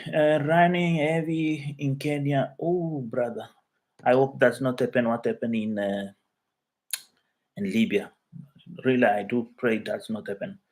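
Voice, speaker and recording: A man from Mozambique, whose first language is Chuabo, hosting a casual live stream from his living room and chatting with viewers in halting English full of fillers and restarts. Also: medium pitch. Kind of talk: casual live chat reading comments.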